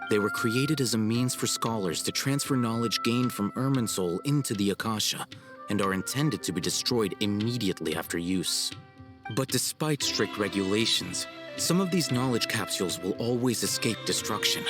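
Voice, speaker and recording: A man voicing a cool, haughty young scholar in a video game, formal and measured. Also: fairly low pitch, expressive, close to an everyday voice. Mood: cool, explanatory. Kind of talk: video game cutscene acting. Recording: music behind the voice.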